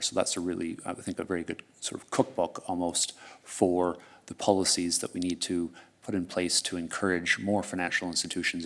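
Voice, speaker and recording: A Canadian man speaking on a conference panel in a measured, explanatory way. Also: low-pitched, pushed and bright.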